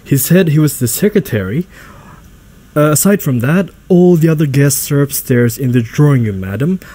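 A man's voice: fairly high pitch, animated, normal speed, warm tone. A man playing a deferential household butler in a radio drama, formal, polite and a little hesitant.

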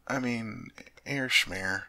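A man playing a doting young king and husband who stammers and repeats himself when flustered and drops into soft, tender whispers. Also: mid-range voice, very slow, full character voice. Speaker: ASMR roleplay performer. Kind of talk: ASMR audio roleplay.

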